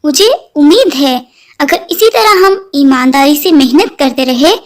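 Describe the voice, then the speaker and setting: A woman's voice: high-pitched, expressive, measured pace. A woman voicing a kindly, hardworking big brother bird in a children's moral cartoon, earnest and reassuring. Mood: pleased, hopeful.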